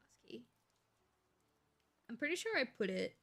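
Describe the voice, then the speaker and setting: Bright-voiced woman streamer. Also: fairly high pitch, very animated, measured pace. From Australia. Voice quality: slight gravel in the voice when talking quietly. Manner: chatty, rambling, laughs easily, thinks aloud. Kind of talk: casual live-stream chat to viewers.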